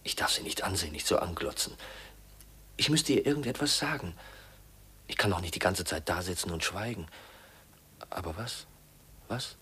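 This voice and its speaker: Young male lead, radio play: shy, uneasy, halting delivery, low husky voice. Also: lively intonation, bright, forceful tone, full character voice. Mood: awed, self-reproachful. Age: young adult. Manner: hesitant, halting, polite, murmuring inner monologue. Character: shy, awkward young heir, uneasy and overwhelmed. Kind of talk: 1950s German radio drama acting. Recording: 1950s radio drama recording.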